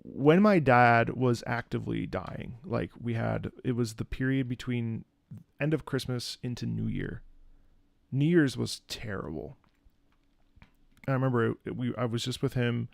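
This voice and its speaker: A man giving casual, candid reaction commentary as a host, thinking aloud in a halting, reflective way. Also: mid-range voice, vocal fry, dry recording. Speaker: host, opera singer reacting to a game.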